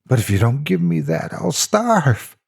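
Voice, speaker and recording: A man's voice: on the high side, sing-song, a little slow, creaky, darkish tone, breathy edge, voice pushed up, heavily acted. A man voicing a hapless, pleading hobo who used to be a banker, in a melodramatic storybook narration.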